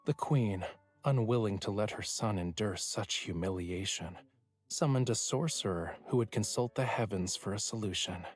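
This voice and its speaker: Low-voiced, teasing young lover. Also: male voice, normal speed, breathy edge. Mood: storytelling. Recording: music behind the voice.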